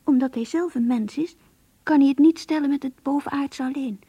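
An actress in a radio play voicing an earnest, introspective young woman who confides in her diary in an intimate, breathy voice. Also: high voice, normal speed, dark tone, no echo. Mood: wistful, troubled. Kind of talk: radio drama diary monologue.

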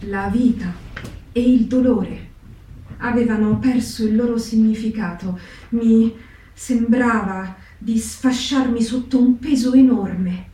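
A woman playing a humble, reflective young diarist who has known despair, in a theatre reading with deliberate pauses. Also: on the high side, mellow. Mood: weary, despairing. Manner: deliberate, pausing phrasing. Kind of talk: slow theatrical diary reading. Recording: echoey stage, slightly distant microphone.

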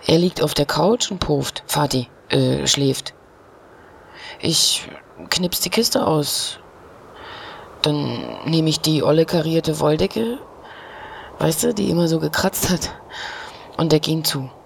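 An actor playing a tender young son in an intimate radio drama monologue, hushed and halting. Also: male voice, a little high, vocal fry, slight background noise. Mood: tender, subdued. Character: quiet, tender young son confiding to someone.

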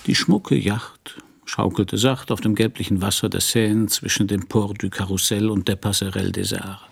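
An actor playing a middle-aged hard-boiled private detective in a radio crime drama, dry and laconic. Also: male voice, fairly low pitch, steady tone, moderate pace, slight background noise. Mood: dry, wry. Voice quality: husky, gravelly.

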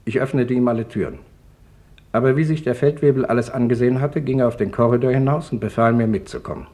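An actor as a grave mid-pitched male witness. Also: fast talker, warm tone, close to an everyday voice. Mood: grave, restrained. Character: male office manager recalling his arrest, grave. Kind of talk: German radio drama witness monologue.

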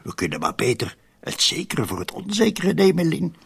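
An actor playing a scheming, urgent undertaker in a radio drama, with a raspy, gravelly voice. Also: male voice, a little high, wildly swooping pitch, moderate pace, full character voice. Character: scheming, urgent male undertaker plotting a frame-up.

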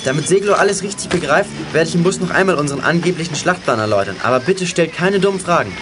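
A man's voice: a little high, animated, fast, music in the background, natural voice. A young male actor playing a clever, bossy schoolboy leader in a detective radio play, commanding and self-assured. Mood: planning, instructing. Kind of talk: youth radio play acting.

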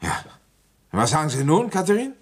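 A man's voice: a little high, wildly swooping pitch, normal speed, breathy edge, heavily acted. An actor in a German radio drama playing an avuncular, ambitious police commissioner in his fifties who has a feverish cold and talks bluntly and coaxingly. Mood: coaxing, firm. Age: middle-aged. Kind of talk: German radio drama acting and first-person narration.

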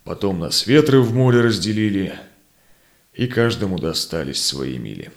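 A man acting a seasoned, honorable Cossack explorer in a verse audio drama, with solemn, declamatory, measured delivery. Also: fairly low pitch, big pitch swings, vocal fry. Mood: solemn, proud. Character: seasoned Cossack ataman explorer, honorable, sorrowful, longing for home.

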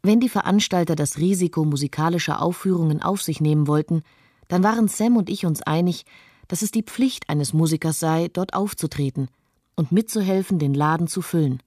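A woman's voice: low voice, mellow, no echo, clean studio sound. A woman playing an earnest, composed musician's wife, reading a first-person account in a radio play. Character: earnest musician's wife who believes art gives hope.

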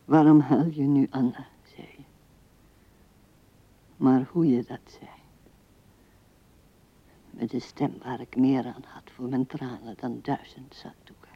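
Slow, wistful old widow. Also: female voice, very deep voice, steady tone, breathy edge, exaggerated voice. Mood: tender, wistful. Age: older. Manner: wistful, halting, often dropping to a whisper. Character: old widow musing tenderly to her late husband. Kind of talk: radio drama monologue. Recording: dull 1970s radio recording.

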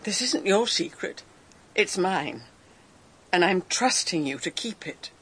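An actress playing a proud, dutiful older British great-aunt with a brisk, no-nonsense manner, in a radio drama. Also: female voice, on the low side, lively intonation, fairly bright tone, slightly rough, exaggerated voice. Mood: grave, resolute. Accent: British English.

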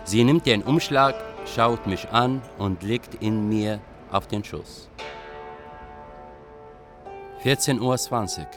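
A man playing a reflective train traveller and writer, narrating in a measured, literary radio drama manner. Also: on the low side, music in the background. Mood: observant.

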